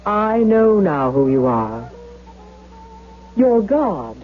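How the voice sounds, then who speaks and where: An actress playing a dazed, rapturous woman in a 1970s radio drama, speaking in drawn-out wonder. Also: medium pitch, very animated. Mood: dazed rapture. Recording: dull vintage radio recording, music behind.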